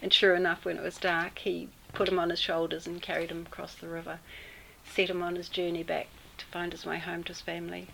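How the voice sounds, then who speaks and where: Interviewee: a fairly low-voiced New Zealand woman, relaxed storytelling with fillers, faint noise behind. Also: creaky, low-quality recording. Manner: unhurried, with many fillers and pauses. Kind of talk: casual storytelling.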